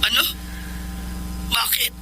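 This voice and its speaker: A woman's voice: slow, bright, forceful tone, slight background noise, low-quality recording. A woman playing a wronged but forgiving mother in an earnest, emotive style of Filipino radio drama. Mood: anxious. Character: wronged, forgiving, devoted mother who sells rice cakes. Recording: radio drama with music underneath.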